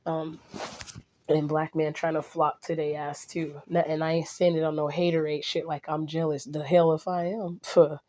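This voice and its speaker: A woman vlogging casually to her viewers, a little hoarse and raspy from a cold, rambling with restarts and blunt asides. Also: low-pitched, little pitch movement, normal speed, mellow. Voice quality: slightly raspy. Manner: blunt and outspoken.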